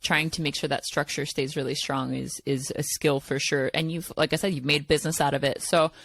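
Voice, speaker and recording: A woman hosting a casual interview, talking in a relaxed, rambling way with many fillers. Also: deep voice, fairly quick, vocal fry, no room sound. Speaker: podcast host.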